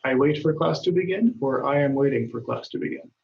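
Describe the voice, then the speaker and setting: A man teaching an English grammar lesson, speaking slowly and deliberately, and raising his voice when he reads example dialogues aloud. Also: medium pitch, animated, gravelly. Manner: patient, deliberate, lifts his voice when reading quoted lines. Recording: dull, roomy sound from a distance.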